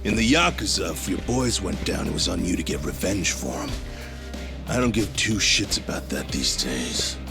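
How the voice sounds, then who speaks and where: A deep-voiced, menacing gang enforcer from a video game. He swaggers and mocks, his pitch moving freely as he taunts, over prominent background music. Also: lively intonation, normal speed, creaky. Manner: swaggering, mocking, sudden drops to a menacing hush. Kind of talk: English video game dub acting.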